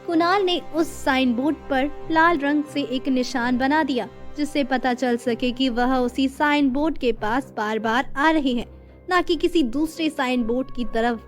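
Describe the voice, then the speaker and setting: A woman's voice: high voice, a little slow. A woman storyteller giving a scripted narration of a horror story. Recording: music under the voice.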